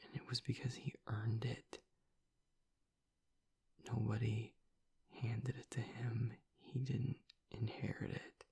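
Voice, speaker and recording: A man playing a grieving young werewolf boyfriend, tough but vulnerable, choked up and halting as he confides in an intimate audio roleplay monologue. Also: mid-range voice, level tone, warm tone, heavily acted. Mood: reverent, sorrowful. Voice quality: breathy, gravelly near-whisper. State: choked up with grief.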